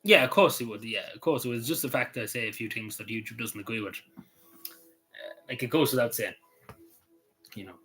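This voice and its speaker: Fast-talking Irish man chatting on stream. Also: mid-range voice, bright, forceful tone, faint music behind. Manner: rambling, full of "you know" and restarts. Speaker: YouTuber answering live chat. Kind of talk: casual livestream chat.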